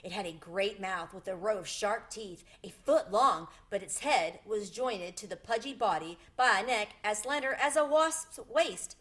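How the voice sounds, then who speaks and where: A woman narrating a children's chapter book aloud in an expressive, deliberate way. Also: mid-range voice. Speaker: storybook reader.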